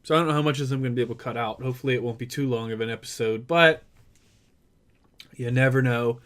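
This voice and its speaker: A man's voice: mid-range voice, fast, a bit bright. A man hosting a gaming video with casual, playful let's play commentary. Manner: playful asides, repeats the game's words.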